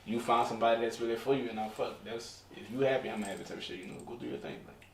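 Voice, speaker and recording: A man in his early twenties chatting casually as a podcast co-host, telling things in a slangy, animated way. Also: medium pitch, very fast. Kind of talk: casual group chat. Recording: echoey room, distant mic, faint noise.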